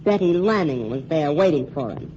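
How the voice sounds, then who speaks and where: An actress playing a streetwise young nightclub singer in a 1940s radio drama, brassy and slangy. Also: female voice, deep voice, expressive, normal speed, mellow. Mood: defensive. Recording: muffled 1940s radio broadcast with faint hiss.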